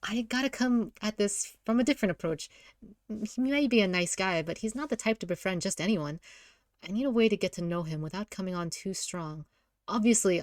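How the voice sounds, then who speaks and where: A woman playing a reluctant, flustered schoolgirl heroine, deadpan and protesting, in an amateur story reading. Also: fairly low pitch, no room sound, studio quality, natural voice.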